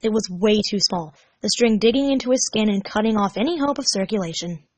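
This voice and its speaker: A woman's voice: medium pitch, expressive, a little fast, no room sound. A woman narrating a scripted story as a fan fiction reader.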